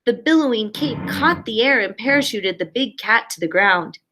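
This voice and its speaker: Woman, schoolteacher, high voice, expressive story reading, simple encouraging talk to children. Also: moderate pace. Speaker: primary school teacher. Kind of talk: expressive read-aloud and talk to young children.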